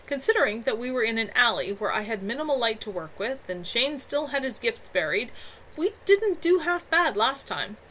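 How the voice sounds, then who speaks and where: A woman's voice: a little high, very animated, moderate pace, sharp tone, a little hiss or hum, voice pushed up, low-quality recording. A woman reading a stubborn young man's lines, argumentative and defensive.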